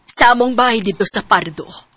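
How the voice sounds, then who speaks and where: A caring, decisive older grandmother played by a woman speaks in a husky, slightly high voice. She has a Cebuano Filipino accent and acts in radio drama style. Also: moderate pace, a bit bright, dry recording. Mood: firm, caring.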